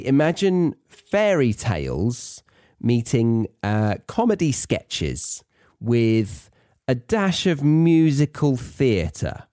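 A man's voice: medium pitch, darkish tone, no room sound, studio quality. A British man hosting an educational English podcast, talking in a chatty, conversational and wry way. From Britain. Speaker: podcast host and English teacher.

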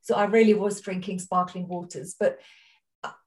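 Woman, Austrian accent, podcast guest, earnest storytelling, mid pitch, a bit distant from the mic. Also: fairly even intonation, normal speed, a little mellow. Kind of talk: casual interview chat.

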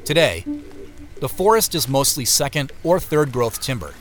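An American lone traveler tells his own story in an audio drama over clear music. His pitch moves in a lively way through measured, reflective narration. At times he drops into wry, casual muttering to himself. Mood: reflective, observant. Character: male solitary traveler, reflective, wryly talking to himself. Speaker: narrator and lead character. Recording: music behind the voice.

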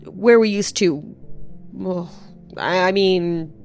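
A woman's voice: lowish voice, takes it slowly, darkish tone, faint music behind. Frank, skeptical woman expert. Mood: hesitant. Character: blunt, skeptical female technical expert. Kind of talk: audio drama acting, naturalistic dialogue.

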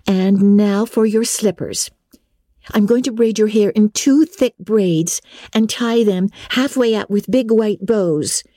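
A woman's voice: medium pitch, takes it slowly, mellow, no echo, clean studio sound, pitched above the performer's own voice. A woman voicing a vivacious, bossy and affectionate teenage girl who fusses over her best friend in a storybook reading. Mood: bossy, fussing. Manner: gushing, decisive, affectionate.

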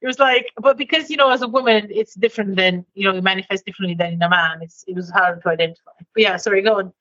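A woman's voice: mid-range voice, quick pace, fairly bright tone, lo-fi sound. A woman born in Romania, a guest in an interview, speaking directly and candidly in casual chat.

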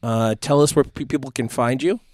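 A man's voice: medium pitch, fairly quick, a little mellow, dry recording, clean studio sound. Easygoing man in his fifties. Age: 50s. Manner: affable, laughs easily, stammers on restarts. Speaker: podcast co-host. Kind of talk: casual podcast interview.

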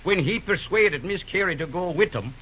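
A man's voice: high voice, animated, moderate pace, highs cut off, slight background noise. An actor playing a scrappy, optimistic small-town railroad man, folksy and emphatic, in an old-time radio drama. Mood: emphatic, wry.

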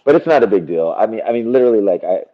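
Middle-aged male musician guest with a deep radio voice, loose and profane, recorded on dull lo-fi audio. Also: lively intonation, rapid-fire. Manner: rambling, swears freely, drops into goofy impressions. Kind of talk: casual podcast chat. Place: his home.

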